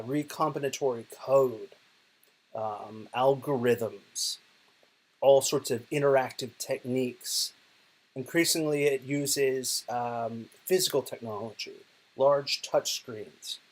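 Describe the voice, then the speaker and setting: A middle-aged American man from Oklahoma speaks from his bed while unwell. His talk is relaxed and chatty, with frequent fillers and self-corrections. He recorded it himself on video in a bedroom. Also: medium pitch. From Oklahoma, United States. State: ill, resting in bed. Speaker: digital poet and professor.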